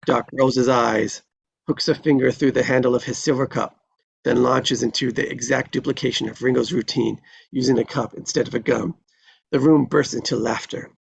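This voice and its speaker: Gravelly man reading stage directions. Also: medium pitch, slight background noise. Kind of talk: scripted narration.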